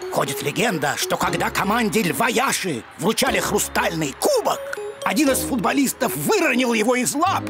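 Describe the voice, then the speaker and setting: A man's voice: high-pitched, big pitch swings, moderate pace, over music. A man voicing a kindly old grandfather dog in a children's cartoon, encouraging and theatrical as a storyteller. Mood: proud, dramatic.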